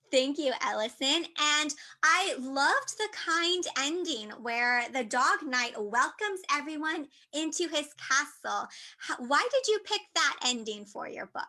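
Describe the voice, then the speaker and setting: High bright voiced lady asking a kid questions, super encouraging. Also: lively intonation, measured pace. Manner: upbeat, encouraging, talks gently to a child. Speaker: panel moderator. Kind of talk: moderating an online panel.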